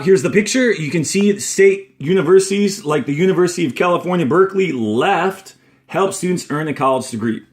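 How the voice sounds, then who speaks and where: A man teaching young students, reading a textbook aloud in a deliberate, instructional way and adding brief explanatory asides as he goes. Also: a little high. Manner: deliberate, instructional, signposts each page and paragraph.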